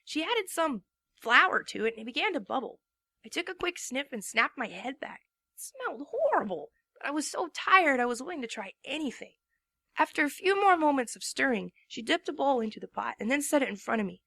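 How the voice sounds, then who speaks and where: A woman's voice: high voice, big pitch swings, a little fast, creaky, sharp tone, no echo, full character voice. Worn-out young pegasus girl voiced by a woman telling her tale, drowsy and plain-spoken. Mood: tired. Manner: first-person storytelling, drowsy. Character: tired tomboyish young female pegasus narrating her story. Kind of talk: first-person narration in a fan dramatic reading.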